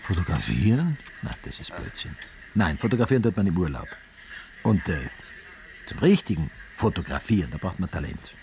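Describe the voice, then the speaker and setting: Gruff, deep, animated theatre director. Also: male voice, normal speed, a little hiss or hum. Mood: gruff, dismissive. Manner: gruff, brusque, offhand. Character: blunt, dismissive older male Viennese theatre director. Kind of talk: radio drama acting.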